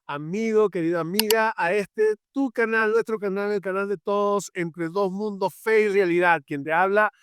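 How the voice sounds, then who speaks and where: A man's voice: high-pitched, steady tone, normal speed, few pauses, background noise. Venezuelan man hosting a faith podcast. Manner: warm welcoming, many fillers and restarts. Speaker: podcast co-host.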